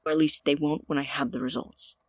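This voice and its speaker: Someone acting a husky, stammering, obsessed young scientist. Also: mid-range voice, level tone, no echo, natural voice. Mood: insistent, hurt. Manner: halting, stammering, earnest. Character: nervous, defensive, obsessed male college student scientist. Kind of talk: audio drama acting.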